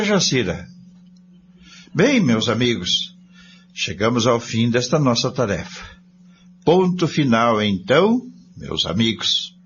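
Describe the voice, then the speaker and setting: A Brazilian man hosts with scripted commentary addressed to listeners. His delivery is very unhurried with wide swings of intonation, and the sound is dull and lo-fi. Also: medium pitch, fairly bright tone. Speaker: host of a spiritist study programme. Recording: dull lo-fi sound with some noise.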